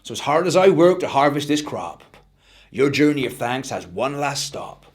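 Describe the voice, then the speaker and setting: Man as a gentle, unhurried old farmer. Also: mid-range voice, sing-song. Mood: kindly explaining.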